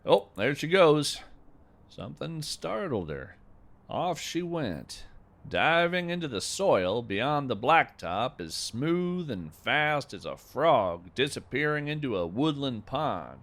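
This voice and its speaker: A man playing a gentle, whimsical naturalist in a fiction podcast, narrating with folksy, reflective wonder and deliberate phrasing. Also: medium pitch, very animated, exaggerated voice. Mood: hushed wonder. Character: gentle, whimsical male naturalist marvelling at strange creatures. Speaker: host and narrator. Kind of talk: scripted fiction podcast narration, storytelling monologue.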